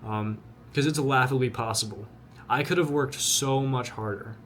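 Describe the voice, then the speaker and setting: Young man, twenty, earnest and blunt, repeats himself, mid-pitched voice, faint ambient noise. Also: moderate pace, fairly bright tone. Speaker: vlogger. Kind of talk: motivational talk to camera.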